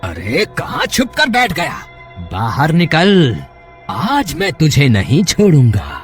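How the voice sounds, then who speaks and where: A man's voice: on the high side, wildly swooping pitch, slow, soft background music, heavily acted. A man voicing a hungry, menacing severed-head ghost in a horror cartoon, threatening and commanding. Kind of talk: Hindi horror cartoon character voice.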